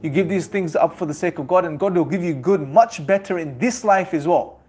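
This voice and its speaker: A young man gives a motivational religious talk. His voice sits a little higher than most men's. He speaks earnestly and exhorts his listeners, building his points through rhetorical repetition. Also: brisk, a little mellow, husky.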